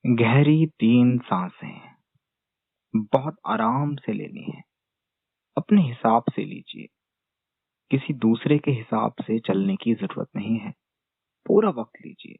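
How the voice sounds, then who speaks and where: A man leading a guided meditation with gentle, unhurried step-by-step instructions and long pauses, as a teacher addressing a class. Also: medium pitch, vocal fry, breathy edge, no echo. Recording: dull phone-like narrow-band sound.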